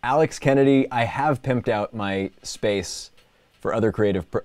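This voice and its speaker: A man's voice: fairly low pitch, normal speed, darkish tone, dry recording. A man hosting a casual livestream, chatting in a playful, rambling show-and-tell way. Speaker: livestream host. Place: indoor personal workspace. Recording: clearly noisy room recording with clicks.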